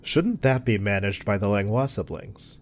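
An actor playing a formal, protective father in a science fiction audio drama, with precise, measured phrasing. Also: on the low side, lively intonation, lightly acted. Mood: brisk, precise. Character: formal, doting, protective father running a telescope array.

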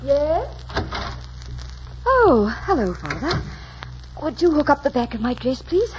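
An actress playing a romantic, spirited young innkeeper's daughter in a dreamy, melodramatic 1940s radio style. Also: female voice, high-pitched, big pitch swings, takes it slowly, darkish tone, slight background noise, full character voice. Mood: playful. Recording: muffled 1940s radio recording, music behind.

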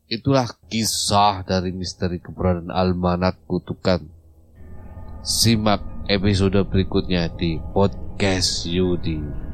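A man narrating an audio drama in a steady storytelling manner. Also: sits low, takes it slowly, slight background noise.

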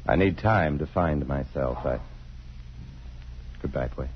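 Casting: middle-aged father torn in love, very low voice, halting and earnest, muffled vintage radio sound. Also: slight background noise, heavily acted. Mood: hesitant, earnest. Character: troubled, tender married father torn between two loves. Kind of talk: 1950s radio drama acting. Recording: dull vintage radio broadcast, faint music behind.

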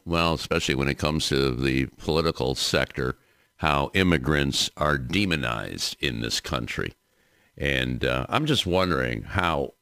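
Deep lively male talk show host. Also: no room sound, studio quality. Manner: lively announcer delivery, reads sponsor plugs. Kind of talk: union radio talk show interview.

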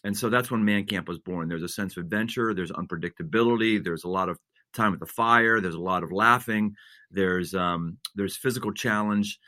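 Pastor guest, male, low and bright tone, dry close sound, preachy build-ups. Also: normal speed, dry recording. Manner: builds to emphatic, repetitive preaching cadence. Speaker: senior pastor, guest author. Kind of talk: conversational interview, earnest and preachy.

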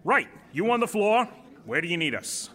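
A man playing an assertive, quick-witted former manager in an audio drama, talking in a glib, persuasive, commanding way. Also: high-pitched, very animated, fairly bright tone, exaggerated voice. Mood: brisk, commanding.

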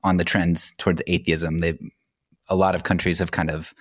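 A man's voice: low-pitched, level tone, moderate pace, dry recording, clean studio sound. An American man speaking as a podcast guest, explaining things in a casual, conversational and anecdotal way. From United States.

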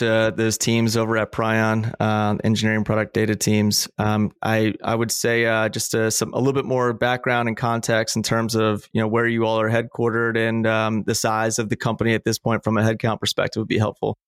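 A man's voice: fairly low pitch, clean tone, dry recording, studio quality. A male podcast host asking interview questions in a hesitant way, with lots of fillers. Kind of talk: conversational interview.

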